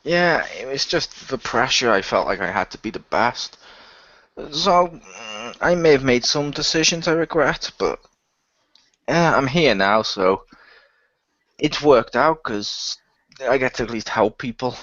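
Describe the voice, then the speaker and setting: A man's voice: medium pitch, animated, measured pace, a bit bright, no echo, lo-fi sound. A man playing a brash, sardonic ex-athlete hero in an improvised audio role-play. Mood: confessional.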